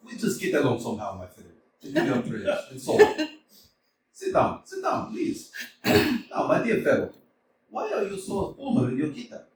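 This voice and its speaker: Guy playing a jolly fussy old landowner dad, highish voice, far away in a big echoey room. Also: wildly swooping pitch, moderate pace, long pauses, exaggerated voice. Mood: effusive. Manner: effusive, booming, laughs often, pet names. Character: jovial gushing older landowner, father of the bride. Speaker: actor in a staged reading. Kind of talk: staged play reading. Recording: roomy hall from a distance, faint hiss.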